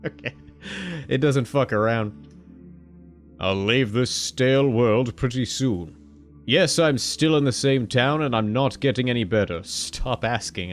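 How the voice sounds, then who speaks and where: A man hosting a let's-play video, reading a game's text aloud and joking, swearing casually and jumping into sudden loud high-pitched exclamations. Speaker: gaming video host.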